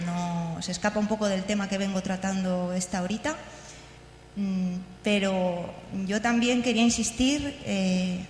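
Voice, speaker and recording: A woman's voice: mid-range voice, darkish tone. A woman giving an informal conference presentation, hesitant and searching for words. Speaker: conference speaker. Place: large conference room, indoors. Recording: very echoey hall, faint noise.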